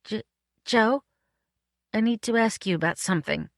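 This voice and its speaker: An anxious, girlish movie actress from a storybook , voiced by a woman narrator. Her voice sits high, with hesitant, stammering phrases and old-fashioned slang, protective and a little naive. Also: lively intonation, moderate pace, no room sound, clean studio sound, natural voice.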